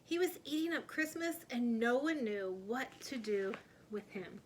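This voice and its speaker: A woman reading a children's story aloud in an expressive, animated storytelling manner. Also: on the high side, unhurried, slight background noise, low-quality recording. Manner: animated, putting on character voices. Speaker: read-aloud storyteller, teacher.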